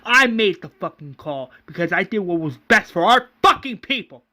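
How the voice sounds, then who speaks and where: A man playing a ruthless, defiant leader of a survivor town, forceful, profane and emphatic. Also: a little high, moderate pace. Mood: angry, defiant.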